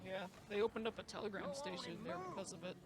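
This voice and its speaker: A man's voice: high-pitched, animated, fast, very noisy. A man roleplaying a saloon keeper in a western game, talking casually and rambling a bit, with self-deprecating remarks. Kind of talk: in-character western roleplay chat.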